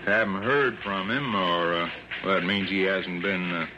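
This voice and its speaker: An actor playing a helpful, plain-talking police lieutenant in a 1960s radio drama, talkative and emphatic. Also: male voice, deep voice, unhurried. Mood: informative. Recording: muffled, noisy old radio recording.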